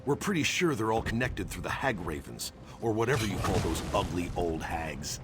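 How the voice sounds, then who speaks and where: A grim orc warrior for a fantasy game. The voice sits low and speaks in a measured, skeptical, proud manner. Heavy battle noise roars behind it. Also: male voice, sing-song. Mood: disgusted, uneasy. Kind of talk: video game character dialogue. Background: battle ambience with artillery.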